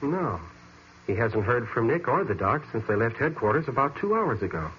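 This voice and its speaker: A man's voice: mid-range voice, sing-song, moderate pace, lightly acted. A man playing a plain-spoken, persistent detective's sidekick in an old-time radio mystery, casual and blunt. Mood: puzzled. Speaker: actor in a radio detective drama. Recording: muffled, noisy vintage radio recording.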